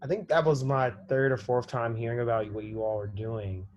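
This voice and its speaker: A man's voice: mid-range voice, fairly even intonation, moderate pace, dull audio. A man hosting a podcast, upbeat and informal, introducing and questioning his guest. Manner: upbeat, calls guest brother. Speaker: podcast host. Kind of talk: casual podcast interview.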